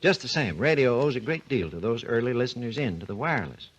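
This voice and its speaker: Husky-voiced man lecturing on 1940s radio. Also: mid-range voice, very animated. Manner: measured, explanatory lecturing. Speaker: research engineer guest. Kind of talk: scripted 1940s radio talk.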